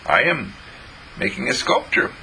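A man's voice: medium pitch, sing-song, unhurried, sharp tone, a little hiss or hum, voice pushed up, heavily acted, poor audio. A man voicing a polite, earnest eight-year-old boy in a storybook telling.

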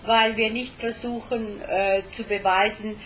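Slow, halting woman interpreter. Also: fairly high pitch, flat delivery, fairly bright tone, slight background noise. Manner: halting, with frequent hesitations. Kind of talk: live interpreting between English and German.

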